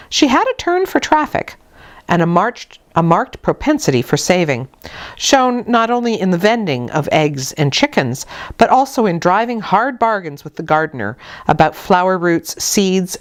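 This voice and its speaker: A woman reading a classic novel aloud as an audiobook, in a measured, deliberate storytelling manner. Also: on the low side. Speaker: audiobook reader. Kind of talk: scripted narration of a classic novel, read aloud.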